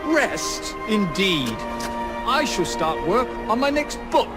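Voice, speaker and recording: Elderly Victorian scholar, English accent, dignified, stubborn, lively swooping intonation, music underneath. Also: male voice, high voice, slow, heavily acted. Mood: stubborn, animated. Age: older. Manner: formal, eloquent. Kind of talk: video game cutscene acting.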